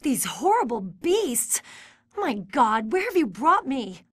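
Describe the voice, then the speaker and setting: A woman's voice: sits high, big pitch swings, slow pace, fairly bright tone, slightly rough, dry recording, clean studio sound, full character voice. A woman playing a terrified young captive in a video game, breathless and melodramatic. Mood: horrified. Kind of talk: video game cutscene acting.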